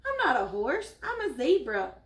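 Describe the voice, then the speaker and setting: A woman's voice: high voice, huge pitch swings, normal speed, heavily acted. A woman voicing a sad, self-conscious storybook zebra in a plaintive manner. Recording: echoey room.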